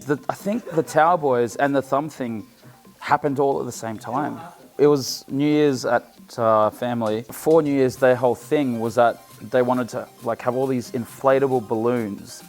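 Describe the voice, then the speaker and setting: A man's voice: mid-range voice, moderate pace, darkish tone. A young DJ tells a rambling, sweary party story in casual chat. His voice sits in the middle of the male range. Faint music plays behind him.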